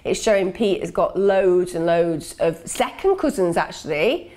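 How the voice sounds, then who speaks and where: A woman in her thirties, chatting excitedly at her kitchen table and exclaiming over her results. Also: fairly low pitch, measured pace. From England. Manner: excitable, exclaiming, laughing often. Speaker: channel host. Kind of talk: casual chat reacting to DNA results. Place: kitchen table at home, indoors.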